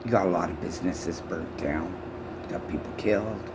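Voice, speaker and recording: A woman in her sixties from Canada ranting loudly and profanely to camera on her own channel, her speech halting from false teeth. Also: very deep voice, noisy background. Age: 60s. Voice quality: loud voice. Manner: shouting, profane, accusatory. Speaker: vlogger. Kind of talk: angry rant to camera.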